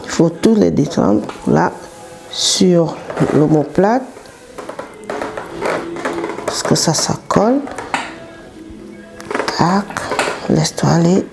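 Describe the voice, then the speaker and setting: A woman from southern Cameroon with a Cameroonian accent, a therapist explaining her work in an unscripted interview, in halting phrases with pauses. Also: fairly low pitch, gravelly, over music. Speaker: massage therapist and business owner, interview guest.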